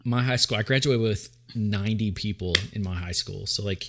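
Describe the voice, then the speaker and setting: A man's voice: lowish voice, normal speed. A young man from East Tennessee with a light East Tennessee accent, co-hosting a podcast in a chatty, laughing way. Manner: chatty, laughs often, lots of fillers. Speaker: podcast co-host. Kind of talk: casual chat.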